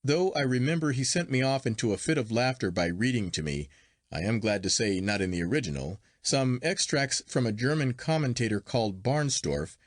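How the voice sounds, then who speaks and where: Expressive male literary narrator, dry close sound. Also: mid-range voice, dry recording. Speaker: audiobook narrator. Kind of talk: scripted literary story narration.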